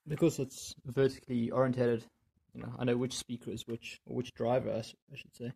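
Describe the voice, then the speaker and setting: A man with a gravelly, creaky voice talks through a hands-on job in a casual way. His tone is dark and mellow with a husky edge, and he mutters half to himself as he works. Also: mid-range voice, flat delivery, brisk. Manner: muttering, thinking aloud while working. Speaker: DIY video maker. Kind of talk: casual hands-on tutorial commentary. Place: indoors in a home room.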